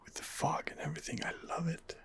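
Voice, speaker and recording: A man hosting an ASMR game playthrough, muttering hesitant commentary in a breathy whisper. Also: quick pace. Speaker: gaming video host.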